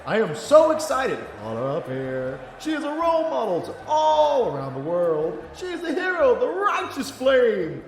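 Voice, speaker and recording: Casting: melodramatic American award-show host, showy and huffy, hugely animated, ringing through an echoey hall. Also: male voice, extremely high pitch, normal speed, creaky, hardly any pauses, slight background noise, pitched above the performer's own voice, full character voice. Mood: showy, excited. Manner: gushing, melodramatic. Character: flamboyant, easily offended American gala host. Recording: echoey hall reverb, music behind.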